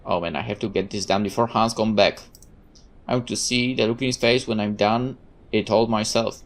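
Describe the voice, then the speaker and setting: A woman reading a visual novel aloud in an expressive, sometimes hesitant way. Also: very deep voice, moderate pace. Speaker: gameplay narrator.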